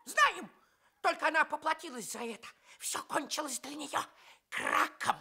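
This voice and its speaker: A cheeky little dog in a children's radio play. The voice is high and sharp, swooping with pert emphasis and perky confidence. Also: female voice, expressive, normal speed, gravelly, full character voice. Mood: cocky, gleeful.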